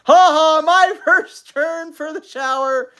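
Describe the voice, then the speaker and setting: A woman reader acts out a storybook dad squabbling like a spoiled child. The voice is loud and raised high, full of petulant, gloating taunts. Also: slow. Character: rude, taunting, childishly bickering father.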